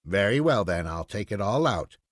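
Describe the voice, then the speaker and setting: A man's voice: lowish voice, big pitch swings, normal speed, no room sound, studio quality, pitched below the performer's own voice. A man voicing a playful, mildly weary father in a storybook, wry and indulgent as he talks. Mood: teasing.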